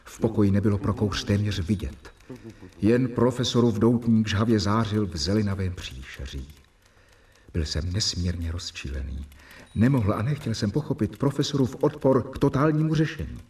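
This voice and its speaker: A man narrating a radio drama in a reflective, measured way. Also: fairly low pitch, lively intonation, darkish tone, far from the mic. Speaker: narrator reading diary.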